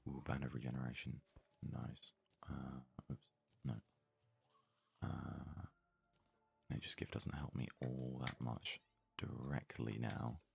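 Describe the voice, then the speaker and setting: A man giving casual ASMR let's play commentary in a very soft, hushed, breathy voice, murmuring his thoughts aloud without hurry. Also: a bass voice, flat delivery. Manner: unhurried, murmured thinking aloud. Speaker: gaming video host.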